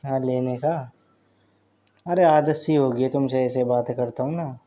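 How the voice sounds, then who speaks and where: Casting: male actor as married flirt, soft mellow tone, mid-pitched, sly innuendo, casual phone-call drama. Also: fairly even intonation, a little slow, lightly acted. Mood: flirty, suggestive. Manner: teasing, double-meaning banter. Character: flirtatious married man, teasing and suggestive.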